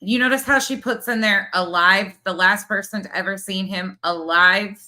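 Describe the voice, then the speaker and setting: Sharp, sarcastic woman reacting. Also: mid-range voice, normal speed. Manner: sarcastic, mocking, repeats points. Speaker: host reviewing another commentator's video. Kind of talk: casual reaction commentary.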